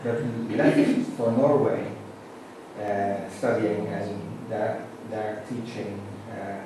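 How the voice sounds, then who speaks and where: A man from the Netherlands with a Dutch accent, a university teacher giving a halting, informal introduction to a group. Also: lowish voice, fairly even intonation. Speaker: university teacher of pedagogical philosophy. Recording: very echoey room, distant mic, noisy.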